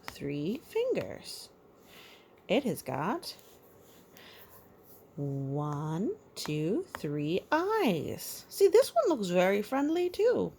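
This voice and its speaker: Animated woman reading to little kids, creaky voice. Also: fairly low pitch, slight background noise. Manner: playful, sing-song, talking to small children. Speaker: parent reading a picture book to children. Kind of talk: children's storybook read-aloud with asides and counting.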